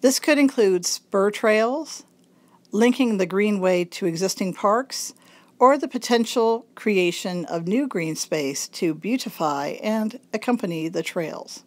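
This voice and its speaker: A woman reads a magazine piece aloud in a raspy voice, thickened by congestion. She takes it slowly and carefully, shaping each word clearly for listeners who cannot read the page. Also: medium pitch, lively intonation. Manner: slow, careful enunciation. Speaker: volunteer reader of a local magazine. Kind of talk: reading a magazine article aloud.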